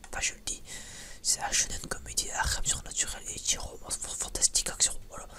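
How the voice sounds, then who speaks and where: A man's voice: fairly quick, pushed and bright. A man whispering breathily in a casual, unscripted ASMR style, rambling hesitantly with many false starts. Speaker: ASMR video creator.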